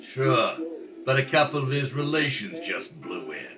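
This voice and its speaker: A man's voice: mid-range voice, big pitch swings, a little slow, pitched above the performer's own voice. A man playing a tough, scheming 1930s nightclub owner in a radio crime drama, with clipped, slangy wise-guy delivery. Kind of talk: old-time radio crime drama acting. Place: indoors at a kitchen table.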